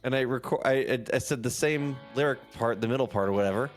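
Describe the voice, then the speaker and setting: A man's voice: medium pitch, fast, faint music behind. A man co-hosting a podcast with joking, teasing, exclamatory banter. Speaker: podcast co-host.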